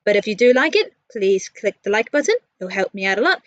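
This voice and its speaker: A woman's voice: mid-range voice, sing-song, fairly quick, no room sound, highs cut off. A woman with a New Zealand accent giving a casual, chatty voice-over for a gaming build vlog, candid and self-deprecating. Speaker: video creator, narrator.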